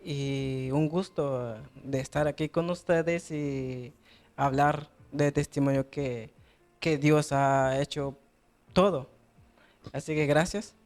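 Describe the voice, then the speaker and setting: A man's voice: on the high side, fairly even intonation, takes it slowly, darkish tone, soft background music. A young man with a Guatemalan accent giving an earnest, somewhat hesitant religious testimony as a guest. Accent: Guatemalan Spanish. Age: young adult. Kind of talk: personal testimony.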